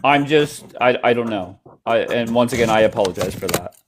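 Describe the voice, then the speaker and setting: A man hosting a casual livestream chat, blunt and quick to cut in on his guests. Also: medium pitch, noisy background. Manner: blunt, apologetic, talks over guests. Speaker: livestream host. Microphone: headphones in, at home.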